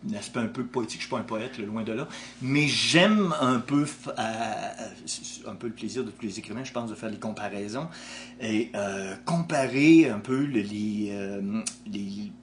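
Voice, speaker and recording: A man's voice: on the low side, moderate pace, slight background noise. A man with a Québécois French accent, an author answering interview questions in a thoughtful way, with frequent restarts. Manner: thoughtful, with many restarts and hesitations.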